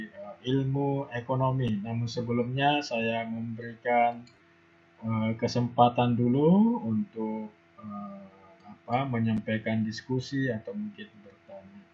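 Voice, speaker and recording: A hoarse man giving an online university lecture, pausing often with fillers, heard over a video call. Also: medium pitch. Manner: hesitant, many filler pauses. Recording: dull lo-fi video call audio.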